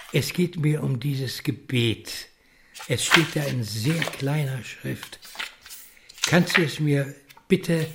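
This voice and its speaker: Radio play grandfather, elderly man: kindly, unhurried, widely varied intonation, noisy clicky recording. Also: on the high side, creaky, exaggerated voice. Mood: gently asking. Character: kindly grieving old grandfather with failing eyesight. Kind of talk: German radio play acting.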